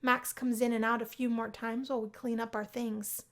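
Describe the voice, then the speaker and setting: A woman hosting a let's play, reading a visual novel aloud as narrator in a lively, expressive way. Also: a little high, moderate pace.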